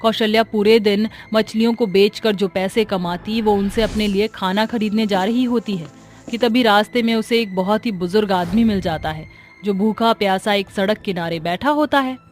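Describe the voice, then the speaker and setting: A woman's voice: medium pitch, moderate pace, faint music behind. A woman giving scripted narration for a cartoon story.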